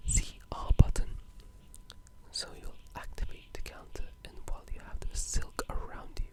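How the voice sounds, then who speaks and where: A video creator speaking in a breathy, unvoiced whisper, giving casual, rambling and enthusiastic ASMR commentary on gaming. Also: moderate pace.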